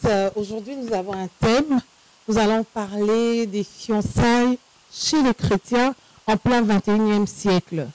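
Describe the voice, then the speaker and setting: Female host of a faith talk show, slow and measured, dry close sound. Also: fairly high pitch, dry recording, low-quality recording. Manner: slow, measured, with frequent hesitations.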